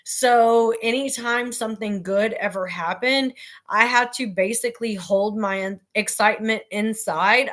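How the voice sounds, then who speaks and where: A woman talks slowly and deliberately, her everyday voice carrying loudly even when she means to speak softly. Her tone sits a little high, and she pauses often with ums and likes as she shares personal thoughts in a casual chat. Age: adult with children. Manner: loud voice by habit, talks slowly and earnestly with fillers. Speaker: host. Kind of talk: casual talk about her book.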